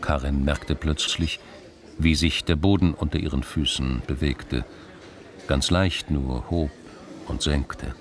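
A man's voice: very deep voice, fairly even intonation, slow pace. A man reading scripted literary narration as the narrator of a radio crime drama.

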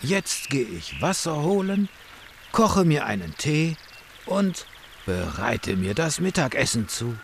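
Man acting slow, creaky-voiced solitary monk. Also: fairly high pitch, sing-song, a little hiss or hum, heavily acted. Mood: contented, savoring. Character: solitary Buddhist monk, content but grudging and stubborn. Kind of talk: children's radio play acting.

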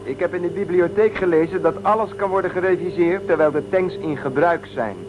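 Male starship crewman actor, radio drama: insistent, challenging, suspicious, lightish pitch, noisy background hum. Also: a little fast, narrow, tinny audio, lightly acted. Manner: questioning, insistent. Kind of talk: radio play acting. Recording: clear background hum and noise.

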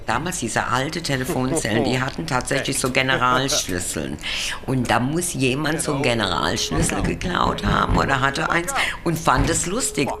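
A woman's voice: extremely low pitch, lively intonation, normal speed, very noisy. An older woman telling anecdotes casually in an interview, slipping in English words. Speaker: eyewitness interviewee. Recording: interview with music behind.

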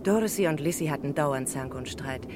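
An actress playing a chatty middle-aged former housemaid in a 1960s radio crime play. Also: female voice, fairly quick, close to an everyday voice. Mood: gossipy. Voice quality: talkative, gossipy manner. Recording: noisy old radio recording, train rumble.

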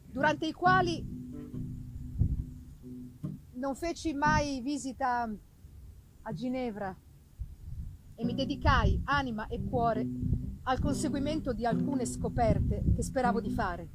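A woman gives a dramatic literary reading with theatrical, deliberate phrasing and pauses. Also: high-pitched, loud music behind the voice, poor audio. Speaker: reader performing a gothic novel. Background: live musical accompaniment.

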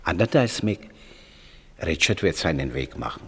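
A man's voice: sits low, sing-song, moderate pace, fairly bright tone, slightly rough, exaggerated voice. An actor playing a poor, tender old porter and father in a 1950s German radio play, with a theatrical, savouring delivery. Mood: attentive, gently wistful. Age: older. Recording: 1950s radio production with music.

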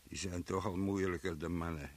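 An actor playing a musing, matter-of-fact macabre worker in a radio play, with a gravelly voice. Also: male voice, steady tone, moderate pace. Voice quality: deep, gravelly.

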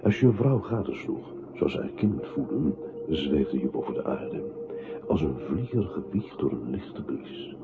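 A man acting a grave, brooding inner voice in a literary radio play, speaking in a measured, solemn way. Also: rumbling low, big pitch swings, creaky, heavily acted. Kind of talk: literary radio play narration in second person. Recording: tinny band-limited radio drama with music.

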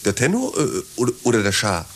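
An actor playing a deferential company underling in a satirical radio play, eager to placate, stammering and hesitant. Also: male voice, on the low side, huge pitch swings, fairly quick, husky, exaggerated voice. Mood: anxious, placating.

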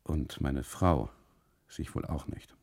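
An actor playing an anxious, obsessive husband on holiday in a literary radio monologue, speaking in halting fragments with insistent repetition and a gravelly, husky voice. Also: male voice, a bass voice, lively intonation, a little mellow, dry recording, heavily acted. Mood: uneasy, recounting. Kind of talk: literary radio monologue, 1980s German radio drama.

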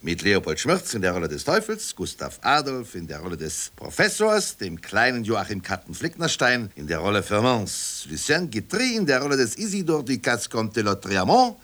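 Wildly swooping radio announcer. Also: male voice, on the low side, sing-song, normal speed, hardly any pauses, a bit bright. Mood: grand, formal. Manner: theatrical, grumbling asides. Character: muttering male radio announcer, pompous on air. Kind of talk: comic radio play announcing.

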